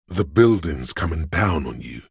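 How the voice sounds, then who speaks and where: A man voicing a menacing, paranoid inner voice of fear and aggression in a video game, gravelly and husky, ominous and deliberate. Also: rumbling low, expressive, no room sound, clean studio sound, exaggerated voice. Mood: dark, menacing. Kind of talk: scripted game voice acting, second-person narration.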